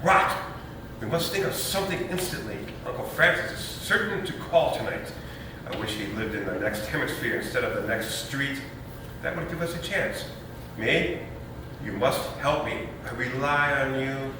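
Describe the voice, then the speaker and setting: A man's voice: medium pitch, animated, moderate pace, natural voice. An actor playing a pompous, scheming lawyer and new father in a stage comedy reading, blustering in grand emphatic phrases. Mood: indignant, blustering. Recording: echoey noisy hall from far off.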